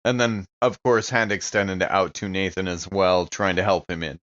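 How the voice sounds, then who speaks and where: A man's voice: deep voice, steady tone, normal speed, fairly bright tone, dull audio. Man casually narrating his game character. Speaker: player in a tabletop role-playing podcast. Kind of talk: casual narration of a character's actions with acted lines.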